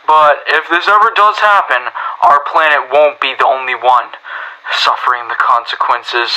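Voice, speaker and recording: A man performs a male workplace trainer in an amateur story audio log. His voice is mid-pitched and strikingly bright and forceful. He explains things like an informal lecturer, casual and a little hesitant in his asides. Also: rough recording. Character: casual male scientist training a new worker. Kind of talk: in-character explainer monologue, conversational lecture.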